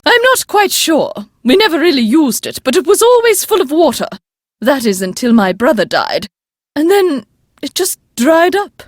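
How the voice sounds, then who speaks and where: A woman's voice: high voice, dry recording, clean studio sound, full character voice. A woman playing an elderly, upper-class English great-aunt in a video game, proper, haughty and emphatic, stern but fond. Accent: English, upper-class British. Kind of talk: video game character dialogue.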